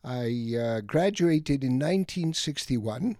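An elderly man from Egypt and Greece, an academic guest reflecting on his life in a halting, deliberate way with many pauses. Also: mid-range voice, expressive, dark tone, dry recording, studio quality. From Egypt and Greece, of Greek parents. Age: late 70s or older. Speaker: interview guest, economics academic. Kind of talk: reflective autobiographical interview talk.

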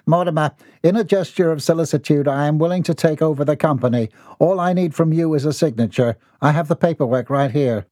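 A scheming uncle speaks in a smooth, condescending voice, close to a plain male register, as a man puts him on. It is recorded dry and close. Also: fairly high pitch, measured pace, dry recording, voice pushed up, close to an everyday voice.

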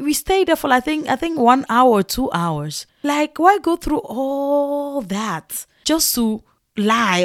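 A young woman from Ghana with a Ghanaian English accent, hosting a podcast and telling a story in a chatty, animated way with frequent laughs. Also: medium pitch, no room sound, clean studio sound. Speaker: podcast host. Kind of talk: casual storytelling monologue.

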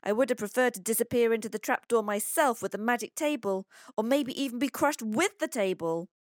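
Woman voicing an anxious, high-pitched schoolboy. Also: moderate pace, dry recording, clean studio sound, natural voice. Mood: breathless, distressed. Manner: breathless, worried, exclaiming. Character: anxious schoolboy son, agitated and dramatic. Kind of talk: children's storybook dialogue.

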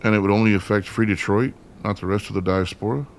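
A man's voice: on the low side, fairly even intonation, fast talker, slight background noise, lightly acted. An actor playing a wary male captive in a radio play, asking terse, sceptical questions. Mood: sceptical, probing. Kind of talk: dramatic radio play dialogue.

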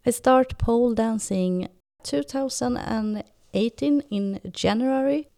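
A Swedish-accented woman in her thirties introduces herself slowly and thoughtfully on a podcast. Her voice is slightly high yet gravelly and mellow, and the recording is dry. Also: no echo. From Sweden. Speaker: podcast co-host. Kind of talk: casual podcast chat.

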